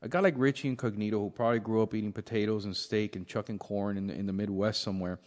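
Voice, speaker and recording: A man co-hosting a sports podcast, talking casually in a halting, explanatory way. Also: fairly low pitch, fairly even intonation, a little mellow. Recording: echoey room with long reverb.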